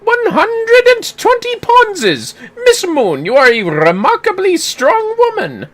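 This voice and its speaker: A performer voicing a pompous, theatrical gentleman inspector with grand, archaic, florid delivery in a video game read-aloud. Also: extremely high pitch, wildly swooping pitch, slow, pitched above the performer's own voice, heavily acted. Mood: dramatic revelation.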